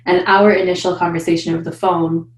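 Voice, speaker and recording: A woman in her thirties, a coaching client, talking in an animated, upbeat way over a video call from a room at home. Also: fairly low pitch, a little fast, reverberant. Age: 30s. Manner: animated, upbeat, says she is loud. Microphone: video call webcam.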